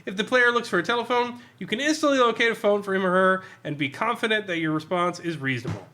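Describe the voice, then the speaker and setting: A man co-hosting a podcast, giving an animated, sweary comedic review of a role-playing adventure, reading bits out and laughing often. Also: high-pitched, quick pace. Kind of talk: casual comedic review with read-out excerpts.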